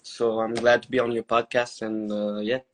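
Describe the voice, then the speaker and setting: A man in his twenties from Montreal with a Quebec French accent, whose first language is French. He is a podcast guest who speaks English haltingly, with many hesitations. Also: mid-range voice, level tone. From Montreal, Canada. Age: 20s. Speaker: guest, MMA fighter. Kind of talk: casual podcast interview.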